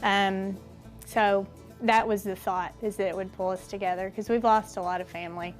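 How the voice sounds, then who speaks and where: A woman gives eager, earnest interview answers about her family. Her pitch sits in the middle range. She sounds sincere throughout. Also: steady tone, moderate pace, soft background music, poor audio. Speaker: daughter of store owner, interviewee.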